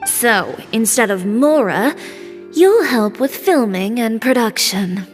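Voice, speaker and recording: An actress playing a brisk, confident young businesswoman in a video game, direct, blunt and no-nonsense. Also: a little high, animated, in a large hall. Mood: impatient, commanding. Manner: direct, take-charge, no-nonsense. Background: game music.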